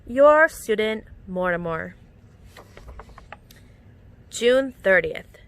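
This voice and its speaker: A woman reading a children's picture book aloud in an expressive, animated storytelling manner with clear enunciation. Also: medium pitch, drawn-out words, no room sound. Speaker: storytime reader. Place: outdoors among plants.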